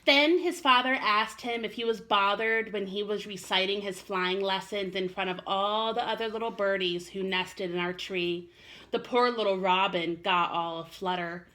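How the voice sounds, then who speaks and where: A woman's voice: mid-range voice, steady tone, moderate pace, pushed and bright, poor audio. A woman teacher reading aloud expressively to young children, with an encouraging manner. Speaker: first-grade teacher.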